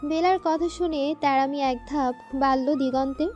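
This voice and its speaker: A woman's voice: high voice, fairly even intonation, normal speed, darkish tone, lo-fi sound, faint music behind. A woman narrating a scripted romantic story.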